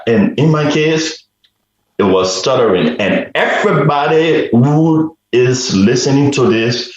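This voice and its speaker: A man from Liberia, a guest on a radio interview, telling a personal story slowly and reflectively, often restarting his sentences. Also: mid-range voice, heavy reverb, muffled recording. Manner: slow, reflective, with repeated restarts.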